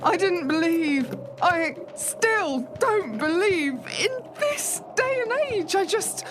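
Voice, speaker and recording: Actress doing a flustered sarcastic woman in a radio play, super high voice, really swoopy, sceptical. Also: animated, slow pace, background noise, exaggerated voice. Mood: doubtful. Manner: incredulous, sarcastic, exasperated. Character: sceptical, flustered young woman, sarcastic London newcomer fleeing werewolves. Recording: loud music behind the dialogue.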